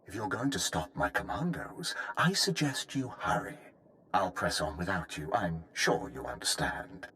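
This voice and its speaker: Game villain: menacing, grandiose cult leader, low and animated delivery, taunting. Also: male voice, moderate pace, slight background noise, heavily acted. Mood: smug menace. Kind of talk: dramatic video game voice acting.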